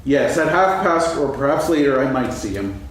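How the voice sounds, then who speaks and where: A man playing a friendly, slow-witted storybook bear in a staged reading, bumbling and repeating himself. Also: mid-range voice, very animated, natural voice. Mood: earnest, muddled. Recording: echoey stage, distant mic, faint noise.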